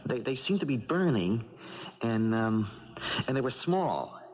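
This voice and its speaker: Man acting a troubled young boxer, lively pitch. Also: close to an everyday voice. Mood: frightened, dawning dread. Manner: halting, stammering, groping for words. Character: troubled, sleepless young male boxer, frightened and unsure. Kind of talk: 1970s radio drama acting. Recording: dull 1970s radio recording.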